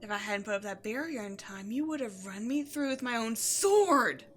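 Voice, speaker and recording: A woman playing an imperious, scheming demon queen in a dramatic audio roleplay, seductive and easily exasperated, moving between outbursts and breathy near-whispers. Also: on the high side, lively intonation, moderate pace, slight background noise, lightly acted. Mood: irritated, haughty. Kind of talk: scripted audio roleplay, theatrical monologue to the listener.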